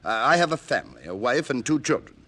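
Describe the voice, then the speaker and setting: Anxious, mannerly client, mid-pitched. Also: male voice, big pitch swings, quick pace, fairly bright tone. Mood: earnest, confessing. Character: earnest, anxious, mannerly male embezzler seeking help. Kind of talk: old-time radio detective drama acting.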